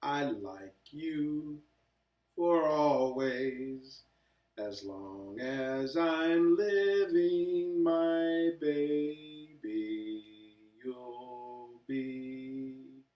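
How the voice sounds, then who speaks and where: Storybook part: loving mother played by a man, crooning slowly and tenderly, recorded with echo from a distance. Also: medium pitch, a little mellow. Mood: tender singing. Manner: drawn-out, tender singing. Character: loving mother singing to her son, at times exasperated. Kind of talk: slow sung lullaby in a storybook reading. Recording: echoey, distant microphone.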